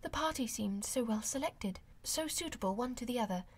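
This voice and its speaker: Woman playing a gentle, husky young lady. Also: fairly high pitch, lively intonation, a little fast. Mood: earnest, protesting. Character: gentle, earnest young woman, modest and sweet. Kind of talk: audiobook character acting of a classic novel.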